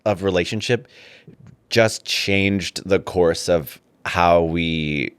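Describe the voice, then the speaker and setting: A man's voice: sits low, slow, smooth, no room sound. A man co-hosting a comedy podcast, teasing the others in a theatrical, mock-earnest manner. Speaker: podcast co-host. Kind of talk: casual comedic chat.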